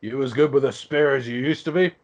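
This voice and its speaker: A man reads a hearty middle-aged king at a table read. His voice sits at an ordinary male pitch, and his delivery is blunt, gruff and familiar, with open affection. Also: a little fast, a little mellow, close to an everyday voice. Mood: earnest, comradely.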